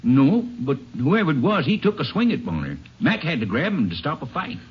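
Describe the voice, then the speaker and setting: An actor playing a nervous young farmhand with a hurried drawl in a 1950s radio drama. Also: male voice, a little high, sing-song, husky, full character voice. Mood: uneasy. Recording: muffled vintage radio recording.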